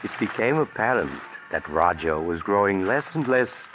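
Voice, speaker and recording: A man giving measured, ominous scripted narration for a 1940s radio drama. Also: fairly low pitch, big pitch swings, over music. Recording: old radio broadcast with faint hiss.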